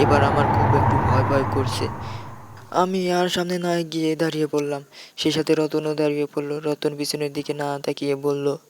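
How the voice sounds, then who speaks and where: A man's voice: on the high side, noisy background. A man narrating a scripted first-person horror story as its main character.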